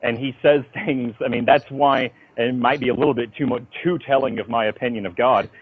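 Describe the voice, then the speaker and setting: A man being interviewed by phone as a writer, talking in an informal, halting but emphatic storytelling way. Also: medium pitch, steady tone, husky. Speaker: interviewee, comic book writer. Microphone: phone call. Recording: dull lo-fi phone call.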